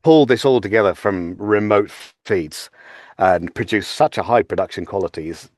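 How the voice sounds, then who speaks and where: A British man chatting casually as an actor guest on a panel, with theatrical, halting phrasing. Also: fairly low pitch, no echo, studio quality. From United Kingdom.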